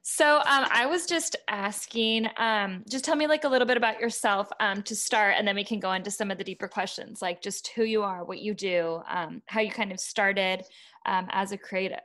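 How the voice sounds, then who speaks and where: A woman hosting an interview podcast, speaking into a headset boom mic. She asks long, thoughtful questions in a relaxed way, with likes and ums along the way. Her voice sits at an everyday middle pitch. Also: moderate pace. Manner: friendly, reflective questions with fillers. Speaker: podcast host. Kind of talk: casual interview. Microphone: pink headset with boom mic.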